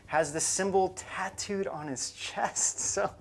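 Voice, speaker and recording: A man's voice: high voice, slow pace, vocal fry. A man co-hosting a show, narrating scripted material in an emphatic, conversational storytelling way. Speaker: show co-host.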